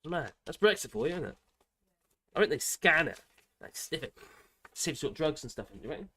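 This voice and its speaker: Older man, hoarse with a cold, rambling live-stream chat, fairly high-pitched. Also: very animated, a little fast, a bit bright. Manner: rambling, chatty, with frequent fillers and self-corrections.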